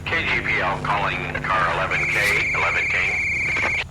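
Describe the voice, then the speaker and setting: A man's voice: low-pitched, fairly even intonation, a little slow, rough recording. An actor playing a male police dispatcher in a crime video game, making an official call over the radio.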